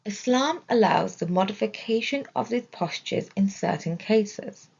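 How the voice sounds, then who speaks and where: Female narrator for religious study audio. Deliberate scripted delivery, some vocal fry, slightly muffled sound. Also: medium pitch, expressive, a little mellow. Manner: measured, deliberate reading. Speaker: reader of religious study text.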